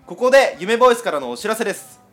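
A man's voice: sits high, big pitch swings, very fast, a bit bright. A man hosting a radio show, moving between casual chat and announcements.

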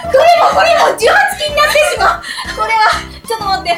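Very high-pitched, excitable young woman radio host. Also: quick pace, roomy sound, shouting, music in the background. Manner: excitable, giggly, animated. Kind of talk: playful, chatty radio talk.

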